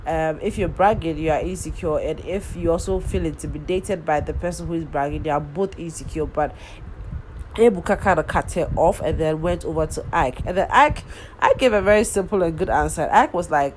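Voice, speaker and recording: A woman with a notably low voice and a head cold that leaves her sounding nasal. She talks in a loose, casual way, stopping for ums and restarting her sentences as she goes. A faint noise sits under her voice. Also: fairly quick, darkish tone, rough recording. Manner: rambling, lots of ums and restarts. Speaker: vlogger recapping a reality show. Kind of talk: casual chatty recap.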